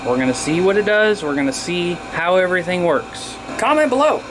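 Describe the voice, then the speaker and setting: A somewhat high-voiced American man talks casually to his viewers in an upbeat, rambling way. He sounds like a hobbyist vlogger filming himself on a handheld camera in a workshop, with clear background noise throughout. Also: lively intonation, brisk. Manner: informal, upbeat, rambling, mild swearing. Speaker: video blogger and CB radio hobbyist. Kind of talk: casual hands-on vlog chat. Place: cluttered indoor garage workshop. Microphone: handheld camera at arm's length.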